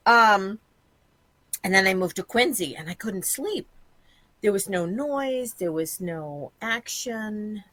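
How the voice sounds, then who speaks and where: Guest type: fifties woman, bright, candid rambling talker, dry recording. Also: medium pitch, no room sound. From Massachusetts, USA. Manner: blunt, chatty, rambling with many ums. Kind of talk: candid casual interview chat.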